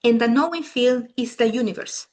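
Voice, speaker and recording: A woman's voice: a little high, moderate pace, a little mellow. A middle-aged woman from Peru with a Peruvian Spanish accent, a native Spanish speaker, leading a family constellations talk in a casual, chatty way and often restarting her sentences. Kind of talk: casual talk to a small group.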